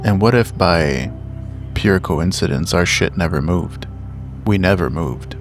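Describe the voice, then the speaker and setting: Man, deep low voice, roleplaying game player, casual in-character talk, faint background music. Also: animated, a little slow.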